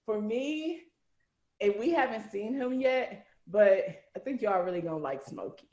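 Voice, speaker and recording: A woman hosting a casual group chat on a video call, talking in an animated, slangy, conversational way. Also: medium pitch, a little slow. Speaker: host, narrator and writer of an audio series. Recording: roomy echo on a video call.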